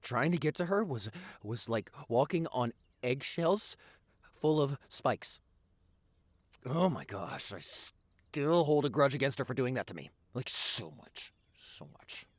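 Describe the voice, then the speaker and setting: A man's voice: mid-range voice, sing-song, no room sound. A man playing a teasing, silly, doting boyfriend who has just woken up, rambling and gushing in an intimate audio roleplay. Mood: animated storytelling. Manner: rambling, gushing, halting with repeated words.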